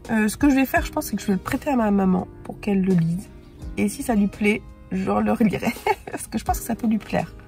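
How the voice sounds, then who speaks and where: Middle-aged woman book vlogger with a cold, gravelly fry, halting casual delivery, talking from her car. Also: mid-range voice, a little mellow, soft background music. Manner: halting, with frequent hesitations. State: congested with a sore throat. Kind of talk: casual reading-journal chat. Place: parked car.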